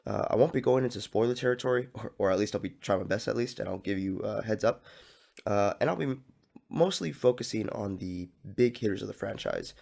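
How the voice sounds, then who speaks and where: A young man hosting a casual solo podcast, his voice a little hoarse and scratchy, rambling easily with lots of "uh"s and restarts. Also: lowish voice. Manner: relaxed rambling with many fillers and restarts. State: hoarse, slightly scratchy from coughing. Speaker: podcast host.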